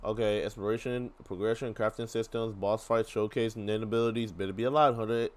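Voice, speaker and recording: A man's voice: on the low side, steady tone, fairly quick, darkish tone. A man giving a casual live gaming reaction, speaking in slangy exclamations and often repeating himself. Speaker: gaming video creator reacting to a trailer.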